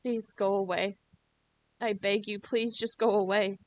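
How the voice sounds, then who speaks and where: A terrified teenage boy, shouting hard and repeating himself in a panic, as a woman puts him on. Her voice sits at a middling pitch, with a faint hiss in the background. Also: fairly even intonation, a little slow, lightly acted. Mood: begging.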